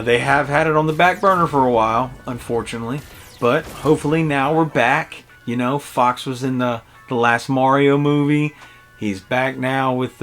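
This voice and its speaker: A man in his early forties giving a casual, conversational video game review in a halting, reflective way. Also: mid-range voice, a bit bright. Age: early 40s.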